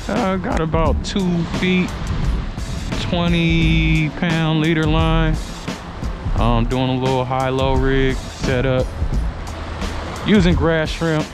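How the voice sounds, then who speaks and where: Man, higher-pitched voice, casual fishing narration, colloquial and exclamatory, outdoor action camera, music underneath. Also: steady tone, slow, pauses often. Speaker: fishing vlogger. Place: open beach at night, outdoors. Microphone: worn action camera.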